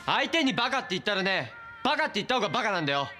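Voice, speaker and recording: A sarcastic young character in a superhero drama, snappy and needling. The voice is high and very lively, racing along quickly, with music faintly behind it in the TV clip. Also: male voice, exaggerated voice. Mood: scolding. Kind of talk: Japanese TV drama acting.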